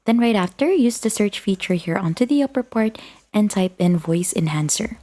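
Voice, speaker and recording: Mellow-voiced woman giving a tutorial. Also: mid-range voice, animated, moderate pace. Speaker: tutorial presenter. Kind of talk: instructional screen-recording narration.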